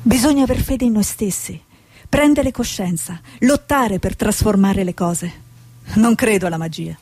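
A woman's voice: mid-range voice, normal speed, a little mellow, no echo, lightly acted. An actress playing an idealistic, earnest Italian woman journalist in a stage drama. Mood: earnest, hopeful.

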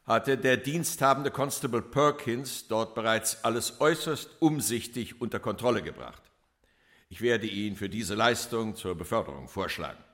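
A cold police inspector giving testimony in a crime radio play. His voice is bright and forceful, with lively rises and falls. He speaks formally and deliberately, leaning on each damning word with complete self-assurance. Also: mid-range voice. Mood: formal, composed. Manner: formal, emphatic, deliberate courtroom oratory. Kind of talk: German radio crime drama acting.

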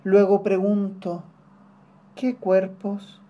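A poet with a Chilean accent reciting an elegiac spoken-word protest poem in a solemn, deliberate way, with litany-like repetition. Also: medium pitch, monotone, dull audio.

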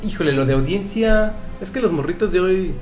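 A man's voice: sits high, expressive, brisk, a little mellow, faint music behind. Slangy Mexican man, rambling podcast chat. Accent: Mexican Spanish. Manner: rambling, slangy, easily amused. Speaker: podcast co-host. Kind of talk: casual chat.

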